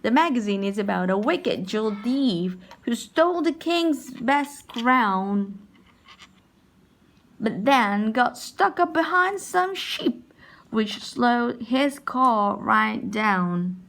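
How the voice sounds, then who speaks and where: Animated woman reading a children's storybook. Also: on the high side. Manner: animated, unhurried storytelling with short asides. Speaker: storybook reader. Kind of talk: expressive read-aloud of a children's picture book.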